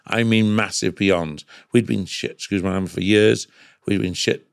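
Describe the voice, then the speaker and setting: A man's voice: lowish voice, fairly even intonation, moderate pace, creaky, clean tone, no echo, studio quality. A middle-aged man with a Cardiff Welsh accent telling casual anecdotes as a podcast guest, emphatic and repetitive, often checking in with "right?". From Cardiff, Wales.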